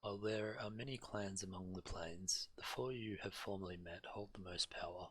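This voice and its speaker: A man's voice: deep voice, normal speed, fairly bright tone, slightly rough. A man streaming a game, reading quest text aloud and sometimes stumbling over the words. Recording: game music behind the voice. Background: video game music.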